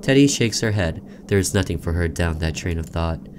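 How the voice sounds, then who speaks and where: A low-pitched man hosts a game playthrough, reading the story text aloud. His delivery is deliberate and dramatic, loosened by casual asides. Some background noise sits under the voice. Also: expressive. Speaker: gaming video host. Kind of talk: reading visual novel narration aloud with casual commentary.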